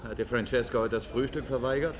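A man's voice: medium pitch, quick pace, natural voice. An actor playing a wry, worldly man in a German radio play, with a teasing, knowing way of talking. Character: wry, worldly man relishing political spectacle. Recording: loud crowd noise behind dialogue.

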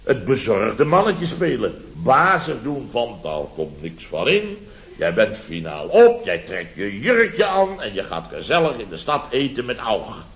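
A man's voice: medium pitch, sing-song, a bit bright. A flustered male contractor spins tales of domestic chaos in an old radio comedy. His mid-range voice halts, repeats itself and keeps tagging questions onto statements. The sound is dull and dated. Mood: rueful. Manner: halting, repetitive storytelling with frequent tag questions. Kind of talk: 1970s radio comedy acting. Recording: dull vintage radio recording.

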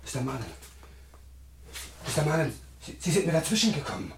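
A volatile gangster henchman in a radio crime play. He is agitated and theatrical, with huge swings in pitch, in a roomy recording with faint noise. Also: very animated, fairly quick. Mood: horrified. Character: volatile gangster henchman, barking orders, easily rattled. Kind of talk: German radio crime comedy acting.

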